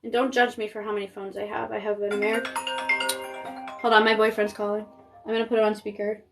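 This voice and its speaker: A woman in her twenties from Maine chatting casually on a live stream while she paints, full of fillers and quick asides. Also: medium pitch, level tone, gravelly, music in the background. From Maine, United States. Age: 20s. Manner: chatty, rambling, with frequent fillers and giggles. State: pregnant. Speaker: makeup artist streaming live.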